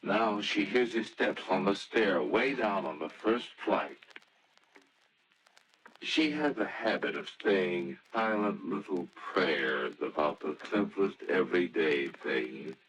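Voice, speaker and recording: A man narrating a scripted story in a theatrical, deliberate old-time radio style. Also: sits low, expressive, fairly bright tone, slight background noise, rough recording.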